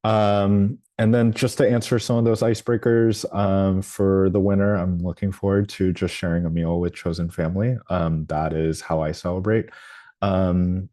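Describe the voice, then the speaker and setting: Low, mellow man presenting with many ums. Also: level tone, moderate pace, no echo, clean studio sound. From New Orleans, United States. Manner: hesitant, lots of ums and restarts. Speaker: workshop presenter. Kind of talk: live training presentation.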